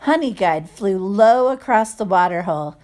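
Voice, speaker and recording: A woman reading a picture book aloud to children, expressive, with chatty asides and questions to listeners. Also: medium pitch, moderate pace. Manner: expressive, acts out sound words, asks listeners questions. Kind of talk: animated read-aloud storytelling with chatty asides.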